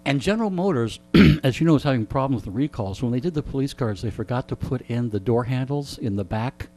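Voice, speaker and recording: Man, radio host as guest, casual storyteller, wry, joking, folksy, mid-range pitch. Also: expressive, brisk, a little mellow. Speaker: radio host being interviewed.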